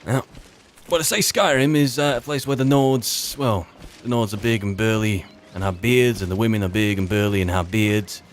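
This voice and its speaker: A man's voice: fairly low pitch, moderate pace, a little hiss or hum. A man giving casual role-play commentary as he plays, musing and muttering asides to himself. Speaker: gamer playing in character. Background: game music.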